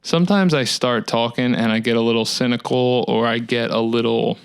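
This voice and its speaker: A man in his thirties talking in a casual, rambling solo monologue, with a dry, monotone delivery. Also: mid-range voice, normal speed, vocal fry, smooth, no echo. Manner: rambling, reflective, many fillers. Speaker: musician hosting his own talk series. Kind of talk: casual solo talk to camera.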